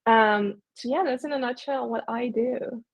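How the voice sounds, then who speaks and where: A woman's voice: a little high, a little slow, slightly rough. Young woman on a dull call line. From Netherlands. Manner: chatty, many fillers and restarts. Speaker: guest, agency owner. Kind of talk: casual interview chat.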